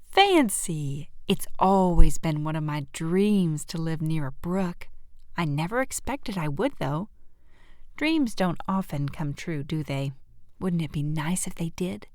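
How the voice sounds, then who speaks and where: A woman's voice: sits low, animated, a little slow, a little mellow, no room sound, clean studio sound. Woman as a dreamy, talkative young girl. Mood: eager, dreamy. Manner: effusive, dramatic. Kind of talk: classic novel audiobook character voice.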